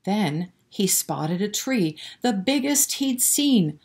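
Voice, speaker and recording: Slow-paced woman reading a children's storybook. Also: mid-range voice. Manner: expressive, explains words and prompts young listeners. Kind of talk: read-aloud picture book narration with asides to children.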